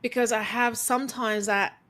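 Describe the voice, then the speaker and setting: Woman, fairly high voice, earnest, motivational, repeats lines for effect, interview guest. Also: fairly even intonation, moderate pace. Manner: earnest, coaching, repeats phrases for emphasis; calls herself quiet-voiced. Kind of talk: reflective motivational storytelling.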